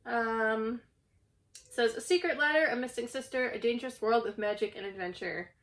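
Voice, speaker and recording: A young woman with a slightly hoarse voice, recovering from a cough, chatting casually at home about a stack of books. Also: on the high side, moderate pace, a bit bright. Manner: chatty, self-deprecating, rambling. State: hoarse, getting over a cough. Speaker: book channel host. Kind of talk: casual book haul vlog. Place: at home, indoors.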